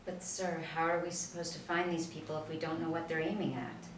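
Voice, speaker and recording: A woman's voice: deep voice, steady tone, a little fast, natural voice. Dutiful FBI agent read by a young woman, formal delivery, roomy and a little distant from the mic. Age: young adult. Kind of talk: script table reading. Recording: echoey room, distant mic, background noise.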